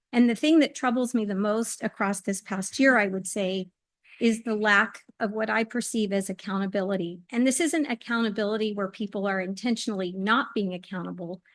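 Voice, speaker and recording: A woman giving an earnest, measured public comment at a podium microphone in a council meeting hall, with some hesitant restarts. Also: mid-range voice.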